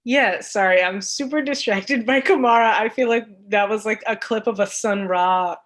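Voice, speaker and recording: A woman's voice: mid-range voice, normal speed, a bit bright. Thoughtful woman in academic discussion. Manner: thoughtful, searching for words, frequent "like". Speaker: literature course panelist and teacher.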